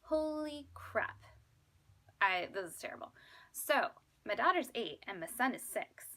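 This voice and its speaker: A woman in her thirties chatting casually to viewers in an animated, self-deprecating, exclamatory way, like a vlogger. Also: fairly high pitch, unhurried. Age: 30s. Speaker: vlogger and fifth grade teacher. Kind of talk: casual chatty vlog to camera.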